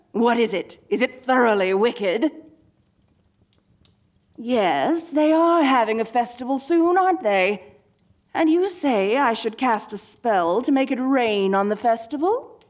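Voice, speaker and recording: A woman playing a dorky evil sorceress queen in a comedic audio roleplay, theatrical, gloating and petulant, talking straight to the listener. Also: fairly high pitch. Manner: theatrical, gloating, mock-menacing.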